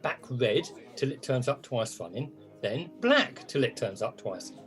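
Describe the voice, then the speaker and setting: Man as an animated gambling crony. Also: mid-range voice, measured pace, soft background music. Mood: breezy. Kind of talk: amateur radio play acting.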